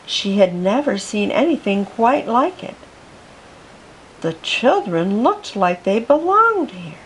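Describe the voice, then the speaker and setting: A woman reading a children's picture book aloud for storytime, with gentle, expressive narration. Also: on the high side, takes it slowly, a little hiss or hum, low-quality recording. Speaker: storytime reader.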